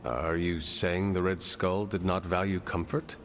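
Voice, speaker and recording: An actor playing an earnest hero in a video game cutscene, speaking formally and stiffly without contractions. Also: male voice, low voice, steady tone, unhurried, soft background music. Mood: curious.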